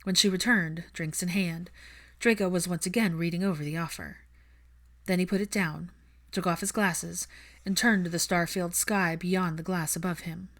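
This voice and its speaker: Female audiobook narrator, slightly low pitch, scripted story reading. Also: steady tone, moderate pace.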